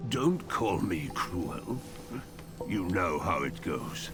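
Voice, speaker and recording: A man's voice: low voice, animated, over music, full character voice. An actor playing a sly, taunting male tempter in a game cutscene, with a mocking, insinuating manner. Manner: mocking, insinuating, drawn-out phrasing. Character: sly, taunting man tempting a wanderer with power. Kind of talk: scripted game cutscene dialogue.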